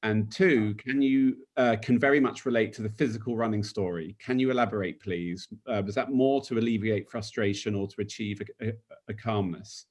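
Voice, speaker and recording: Male webinar host, a bit low, casual and halting while relaying questions. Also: a little mellow. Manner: informal, fillers and hesitant restarts. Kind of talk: moderating questions. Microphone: video call.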